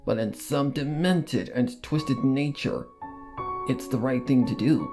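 A man's voice: medium pitch, slow, dark tone, soft background music, lightly acted. A man voicing a grieving, loyal female cartoon pony, solemn and confessional in a dramatic fan fiction reading. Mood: anguished.

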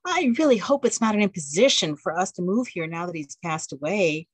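A woman's voice: on the low side, expressive, fairly quick, husky, dry recording. A woman voicing a young, anxious wife who apologizes often and speaks eagerly, in a dry recording. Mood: worried.

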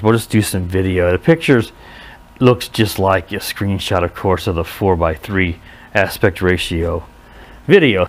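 A man giving a casual, unscripted hands-on review outdoors, speaking haltingly and often correcting himself. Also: fairly low pitch. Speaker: product reviewer. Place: outdoors in a residential driveway. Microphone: head-mounted action camera.

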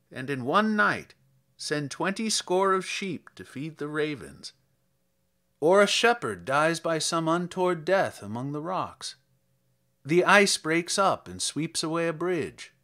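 A man voicing an old, reflective country priest in a dramatic verse reading, telling tales in a measured, plainspoken way. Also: fairly high pitch, big pitch swings, bright, forceful tone, exaggerated voice. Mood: matter-of-fact.

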